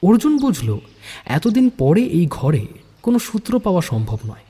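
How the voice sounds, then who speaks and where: Husky, animated male story narrator. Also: sits high, moderate pace. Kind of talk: scripted dramatic story narration. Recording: long hall-like reverb.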